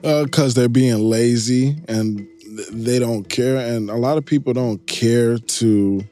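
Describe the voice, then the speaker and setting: A man's voice: medium pitch, slow pace, warm tone, soft background music. A man from Chicago who spent part of his upbringing in Nigeria, speaking conversationally and emphatically as an interview guest, explaining as he goes. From Chicago, United States, partly raised in Nigeria.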